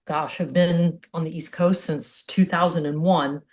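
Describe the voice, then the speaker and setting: A middle-aged American woman speaks in a slightly low-pitched voice. She presents as a physical therapist on a video call, keeping things informal, open and conversational. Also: steady tone, unhurried, darkish tone. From Hawaii, United States.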